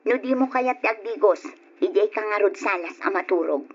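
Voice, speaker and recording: An actress playing a dutiful, nagging working wife in conversational old radio drama style. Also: female voice, mid-range voice, moderate pace, breathy edge, highs cut off. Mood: chiding.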